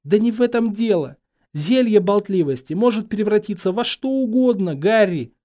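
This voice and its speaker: A man's voice: high-pitched, huge pitch swings, fairly quick, a little mellow, voice pushed up. A man voicing a distraught, bossy and anxious teenage witch girl in an audiobook, whimpering and exclaiming. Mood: alarmed. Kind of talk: dramatised fan fiction audiobook.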